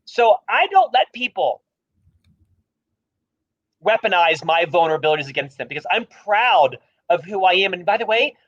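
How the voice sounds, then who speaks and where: Man, stutterer, emphatic, self-deprecating, high voice, dull lo-fi sound. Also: very animated, normal speed, long pauses. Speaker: author and guest speaker. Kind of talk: livestream interview talk.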